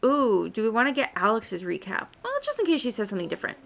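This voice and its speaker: A woman's voice: a little high, very animated, fast talker, creaky, a bit bright. A woman giving casual gameplay commentary as she plays. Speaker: gamer reading quest dialogue and commenting.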